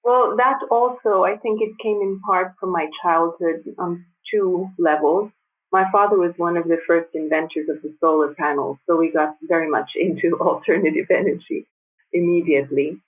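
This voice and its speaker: A woman in her fifties who grew up in the United States, speaking as a guest in a conversational interview, measured and thoughtful with light laughs. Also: on the low side. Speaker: guest designer and architect. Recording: tinny phone-like remote line.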